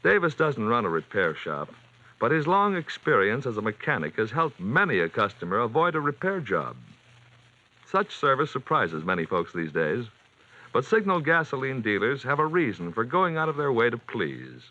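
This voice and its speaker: A man announcing and pitching for a sponsor in a polished, emphatic 1940s radio style. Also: wildly swooping pitch, normal speed, bright, forceful tone. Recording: dull vintage radio recording.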